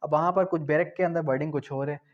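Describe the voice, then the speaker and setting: Man teaching law, slow stressed key terms. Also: fairly high pitch, steady tone, highs cut off. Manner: repeats key terms slowly, asks and answers own questions. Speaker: law tutor. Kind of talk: exam-prep law lecture.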